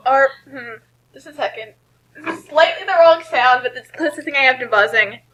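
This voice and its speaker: A woman's voice: high-pitched, a little fast, bright, forceful tone, low-quality recording. A woman chatting casually and offhandedly as co-reader and commentator on a story. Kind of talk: casual chat and amused commentary.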